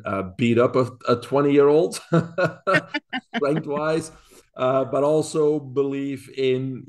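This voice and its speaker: A man's voice: medium pitch, a little mellow. A man in his forties from Belgium with a Belgian accent, a podcast guest explaining things earnestly with frequent fillers. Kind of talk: casual interview talk.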